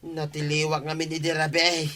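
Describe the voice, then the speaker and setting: A man's voice: a little high, completely flat delivery, brisk, sharp tone. A man playing a sheepish, excuse-making village thief in an Ilocano comedy audio drama, exclaiming and stammering with an Ilocano Filipino accent. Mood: apologetic.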